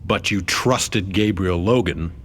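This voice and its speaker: A man's voice: deep voice, slow pace. A man voicing a probing, formal official who questions witnesses in a video game cutscene. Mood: skeptical. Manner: formal, pointed. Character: probing, skeptical male official interrogator at a hearing.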